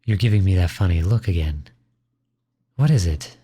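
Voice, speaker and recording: A man playing a kindly, teasing outlaw in an intimate ASMR roleplay, gentle and reassuring. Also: low voice, animated, moderate pace, slightly rough. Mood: gently teasing. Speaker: audio roleplay voice actor.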